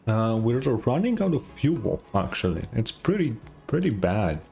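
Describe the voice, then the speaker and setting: A man's voice: medium pitch, big pitch swings, measured pace, creaky. A man who is not a native English speaker, giving casual commentary on a game, musing aloud and swearing. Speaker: gaming streamer. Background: game music.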